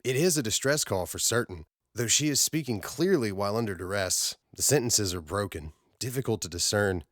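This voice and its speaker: A man's voice: on the low side, lively intonation, a little fast, fairly bright tone, no room sound, studio quality. Sci-fi audiobook role: frustrated, anxious male colleague acted by a man, earnest, pressing, a little formal. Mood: troubled. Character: worried, frustrated male space-station colleague delivering bad news.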